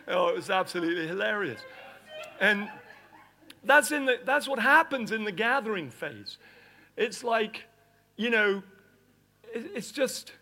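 A middle-aged man who grew up in Nigeria, preaching in an animated storytelling style and acting out the scenes he describes. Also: high voice, unhurried, gravelly, pauses often. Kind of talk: storytelling sermon.

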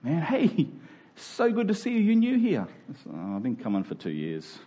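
A man's voice: fairly high pitch, huge pitch swings, normal speed, warm tone, slight background noise. A man preaching a conversational sermon to a congregation, in colloquial language with emphatic repeated phrases. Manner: colloquial, dramatizing imagined exchanges.

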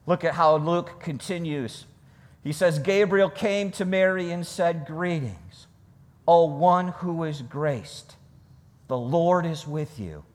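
A man preaching a sermon and reading scripture, with emphatic, deliberate phrasing that repeats for weight, recorded with long reverb. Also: fairly high pitch.

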